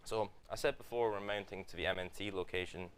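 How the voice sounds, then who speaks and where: A man's voice: low voice, quick pace, slight background noise. A young man presenting a hands-on tech workshop to a live audience, talking through each step with fillers. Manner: steady step-by-step explaining with frequent fillers. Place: office event room with live audience.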